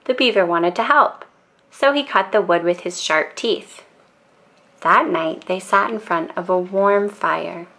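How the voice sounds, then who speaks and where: A woman storyteller narrating a children's bedtime book in a calm, expressive and deliberate way. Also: lowish voice.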